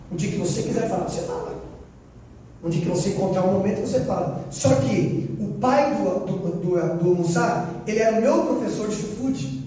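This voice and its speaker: A Brazilian missionary man tells his story from the pulpit, acting out each exchange and repeating lines for weight. His voice sits high but mellow. He is caught from across a reverberant church hall with a faint hum of ambience. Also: distant mic. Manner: storytelling, quoting dialogue, repeating phrases for emphasis. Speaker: missionary preacher. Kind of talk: sermon testimony.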